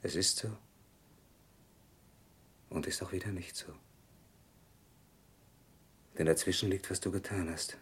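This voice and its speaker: A sober, reproachful husband in an old radio play. His voice is deep and very husky. He speaks gravely and deliberately, as a dutiful citizen would. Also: steady tone, heavily acted. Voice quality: husky, low. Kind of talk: old German radio drama acting.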